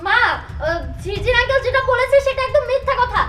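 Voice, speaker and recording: A woman's voice: very high voice, brisk, a little hiss or hum. A young woman playing a coy, defiant, lovestruck daughter in a melodramatic short film, with a Bangladeshi accent. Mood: indignant. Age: young adult. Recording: loud music behind dialogue.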